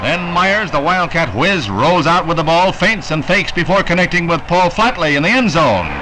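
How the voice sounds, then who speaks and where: A man narrating a 1960s newsreel in a brisk, emphatic announcer delivery. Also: fairly high pitch, lively intonation, slight background noise, low-quality recording. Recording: old newsreel soundtrack with loud music. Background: loud music score.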